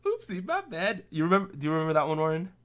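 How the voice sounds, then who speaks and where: Joking podcast host, man, fairly high voice. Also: racing. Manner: relaxed, joking, leads the discussion. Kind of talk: casual chat.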